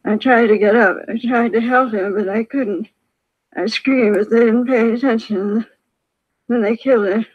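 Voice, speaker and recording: Dazed, grieving widow, thin radio sound. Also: female voice, on the high side, fairly even intonation, moderate pace, husky. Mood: distraught. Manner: weak, repetitive, distraught delivery in short broken sentences. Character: dazed, grieving, badly injured invalid widow. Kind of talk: old-time radio crime drama acting. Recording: thin, phone-like vintage radio recording.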